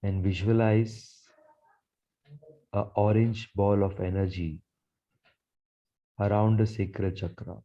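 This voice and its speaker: Male meditation guide: mellow, unhurried delivery, measured and soothing, repetitive phrasing. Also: lowish voice, level tone, highs cut off. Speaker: guided meditation leader, Reiki teacher.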